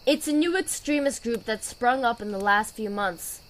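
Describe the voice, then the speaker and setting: A woman playing a dutiful, weary knight commander in an audio drama, speaking in a measured, authoritative way. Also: a little high, slight background noise, lightly acted. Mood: weary, concerned.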